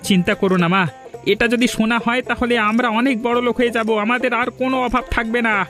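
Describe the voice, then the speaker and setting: A man's voice: extremely high pitch, steady tone, fast talker, a bit bright, soft background music. A man voicing an eager, naive young village man in a cartoon. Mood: earnest, hopeful. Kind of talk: Bengali cartoon voice acting.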